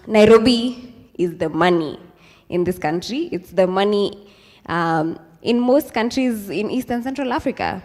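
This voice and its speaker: A young Kenyan woman in her twenties, speaking to a live audience in a chatty, casual way, mixing in Swahili phrases and plenty of ums. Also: mid-range voice. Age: 20s. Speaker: speaker at a youth event. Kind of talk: informal talk with slides to a live audience. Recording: hall with long reverb.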